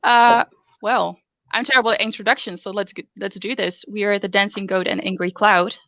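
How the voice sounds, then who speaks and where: A woman's voice: medium pitch, steady tone, a little fast, no room sound, dull audio. A young Dutch woman with a Dutch accent, hosting a casual group podcast in English, chatty and self-deprecating, laughing often. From Netherlands. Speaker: podcast host.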